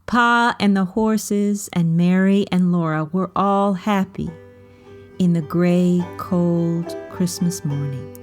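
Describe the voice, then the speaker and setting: A woman reading a children's novel aloud as storybook narration, with measured, deliberate phrasing. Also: lowish voice, a little mellow, faint music behind.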